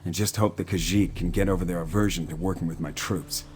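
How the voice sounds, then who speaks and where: A man playing an earnest, weary, fair-minded military commander in a video game, speaking in a measured, formal way. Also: deep voice, steady tone, a little mellow, a little hiss or hum, lightly acted. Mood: resolute, sober. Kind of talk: video game character acting.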